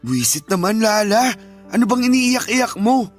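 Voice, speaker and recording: A tired, dismissive Filipino working husband in a radio drama. His voice runs a little high, exasperated and evasive. There is faint music behind him. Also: very animated, normal speed. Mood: defensive.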